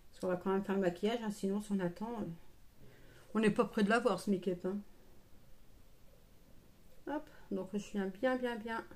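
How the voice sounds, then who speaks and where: A woman's voice: mid-range voice, fairly even intonation, moderate pace, long pauses, a little mellow. A woman giving a casual live make-up tutorial, chatting familiarly with her viewers and throwing in playful asides. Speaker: live make-up streamer and beauty seller.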